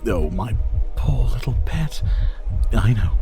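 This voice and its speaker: A man playing a sadistic, seductive vampire captor in an intimate ASMR roleplay, breathy and often whispering, commanding yet mock-tender. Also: medium pitch, very animated, takes it slowly, over music. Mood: mock pity, dominant.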